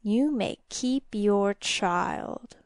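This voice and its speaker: A woman voicing a small, sinister, taunting demon in a fairy-tale audiobook, with a crackling, hissing, whispery voice and drawn-out, mock-polite menace. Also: mid-range voice, no room sound, clean studio sound. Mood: sly, coaxing.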